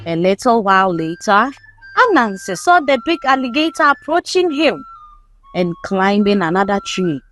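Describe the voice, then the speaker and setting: A woman reading a folktale aloud as a storyteller, in a measured, expressive storybook delivery. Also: mid-range voice, slight background noise. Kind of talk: scripted folktale narration read aloud.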